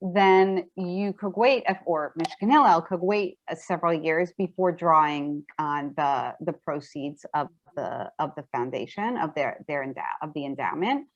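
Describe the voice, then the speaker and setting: Dull lo-fi recording of a halting woman. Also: deep voice. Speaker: presenter fielding questions. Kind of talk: explanatory question-and-answer talk. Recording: dull, lo-fi online meeting audio.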